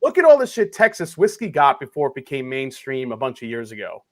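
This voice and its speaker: A man's voice: medium pitch, wildly swooping pitch, fairly quick, noisy background. A man with a New York accent hosting a casual live whiskey stream, laughing often and restarting his sentences. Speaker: host of a whiskey review stream.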